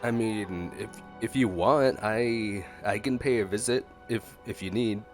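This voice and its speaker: A man's voice: on the low side, unhurried. A man giving casual, playful reactionary commentary as he streams a game. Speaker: gameplay streamer. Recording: game music behind the voice.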